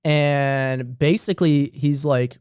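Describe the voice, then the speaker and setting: A man's voice: medium pitch, fairly even intonation, slow pace, darkish tone, clean tone, no room sound, studio quality. A man hosting a podcast, retelling a story casually with plenty of slang and swearing, acting out the dialogue. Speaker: podcast host retelling a horror series. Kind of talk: casual comedic retelling with loose acted-out dialogue.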